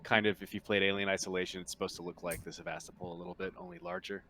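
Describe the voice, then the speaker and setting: Low-voiced man running a game. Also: fairly even intonation, sharp tone. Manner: halting, informal, conversational. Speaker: game master. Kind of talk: casual tabletop game narration.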